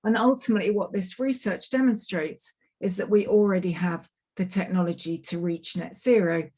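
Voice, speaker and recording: A woman with a fairly low voice presents over a video call, speaking through her computer mic. Her voice is a little croaky and worn from travel, and she talks in a chatty way, filling pauses with ums and restarting her sentences. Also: darkish tone. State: slightly croaky and tired. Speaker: climate project manager giving a webinar talk. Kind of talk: online presentation, loosely scripted.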